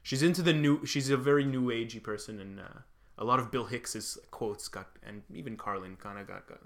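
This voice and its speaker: A man's voice: mid-range voice, fairly quick, fairly bright tone. A man born in Lebanon, a comedian co-hosting a casual chat, rambling and swearing with self-deprecating jokes. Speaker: comedian and podcast co-host.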